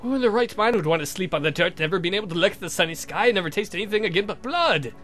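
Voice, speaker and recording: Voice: medium pitch, expressive, rapid-fire, a bit bright, soft background music, natural voice. A woman voicing a polite, restrained male vampire count with dry delivery, for a visual novel game. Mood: earnest, guarded. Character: wry, courteous male vampire count, young suitor.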